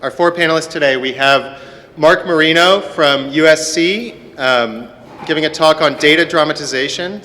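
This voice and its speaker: A man's voice: on the high side, sharp tone. A man introducing panel speakers at a conference, reading from prepared biographies. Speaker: panel moderator. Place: conference hall at a university symposium. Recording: echoey hall with long reverb.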